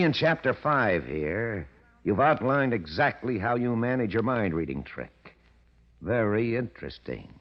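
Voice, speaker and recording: An actor playing a cocky, scheming American press agent in a 1940s radio crime drama, talking in breezy slang. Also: male voice, mid-range voice, lightly acted. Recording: dull vintage radio recording.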